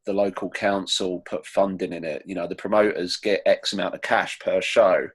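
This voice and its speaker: A man's voice: low voice, fairly even intonation, normal speed, slightly rough. A man with a British accent answering interview questions as a musician, in casual, hesitant, rambling talk. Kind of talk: casual chat.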